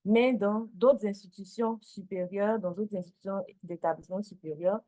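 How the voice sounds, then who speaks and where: A woman speaking as a consultant on a panel, formal and deliberate. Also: mid-range voice, warm tone, no echo. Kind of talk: panel discussion.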